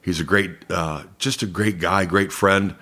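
Man, fifties, American accent, low voice, casual podcast guest, conversational storytelling. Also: fairly even intonation, a little slow, pushed and bright. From Columbus, Georgia, United States. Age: 50s. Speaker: podcast guest, sports announcer and voice actor.

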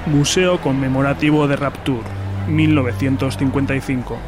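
A man's voice: mid-range voice, steady tone, moderate pace, music in the background, natural voice. A man acting as formal announcer, reading scene titles for an audio drama.

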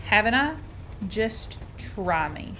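A woman's voice: mid-range voice, sing-song, takes it slowly, sharp tone, full character voice. A woman acting a feisty, skeptical young woman in a radio play, prim and challenging. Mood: defiant. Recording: noisy student radio play recording.

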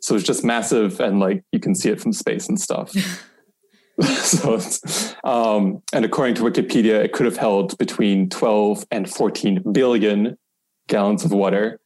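A man's voice: fairly low pitch, a little slow, darkish tone, slightly rough. A man chatting informally as a podcast guest, explaining and reading out reviews with light amusement.

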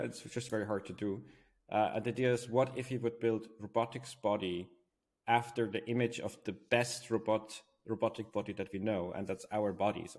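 A man from Switzerland with a fairly low voice answers as a podcast guest. He talks in a conversational way, laying out his thoughts in a reflective, explanatory style. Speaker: podcast guest, robotics company founder.

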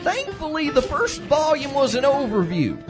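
A man's voice: very high voice, sing-song, normal speed, music in the background. A man playing an earnest, bookish young sidekick who narrates a comic western audio drama with grand drama.

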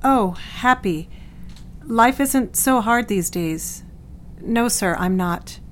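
A woman's voice: a little high, expressive, slow pace, a little hiss or hum. A woman playing a placid, docile young married patient who speaks politely, simply and vaguely in a period audio drama.

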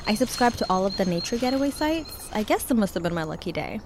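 A woman's voice: mid-range voice, sing-song, quick pace, noisy background, natural voice. An actress playing an affectionate, upbeat outdoorsy woman in an audio drama, talking in a casual, reassuring way. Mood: breezy. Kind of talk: naturalistic audio drama dialogue.

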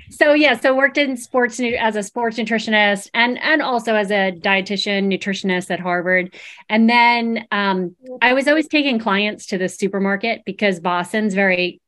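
Female guest, East Coast accent, relaxed and a bit halting. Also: mid-range voice. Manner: hesitant, lots of ums, laughs easily. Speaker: registered dietitian guest. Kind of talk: casual interview chat.

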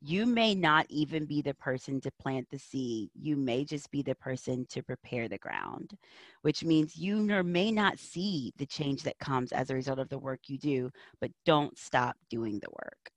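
A woman's voice: rumbling low, animated, measured pace, no room sound. A woman speaking as an interview guest, a counselor and educator, giving earnest, emphatic advice in reflective answers.

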